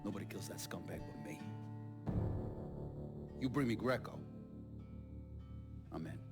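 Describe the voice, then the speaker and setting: An actor playing a weary but proud mobster in a video game, blunt, streetwise and profane. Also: male voice, fairly low pitch, animated, unhurried. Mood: grim resolve. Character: weary, defiant, foul-mouthed veteran mobster, cornered but proud. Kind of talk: cinematic game dialogue. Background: guitar music.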